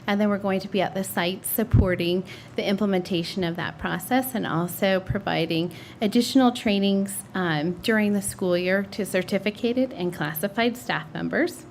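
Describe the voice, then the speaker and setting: A woman delivers a formal report to a school board at a middle pitch, reading steadily from her notes. She drops in an occasional um, and a faint hiss runs under the meeting-room microphone. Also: moderate pace, gravelly, few pauses. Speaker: district instructional services administrator.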